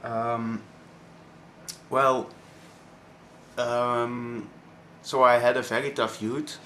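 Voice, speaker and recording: A man of twenty-one from Belgium with a Flemish accent, his first language Dutch, speaking haltingly with many pauses in a personal vlog. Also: medium pitch, level tone, sharp tone, a little hiss or hum. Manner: hesitant, many ums and pauses. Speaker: vlogger.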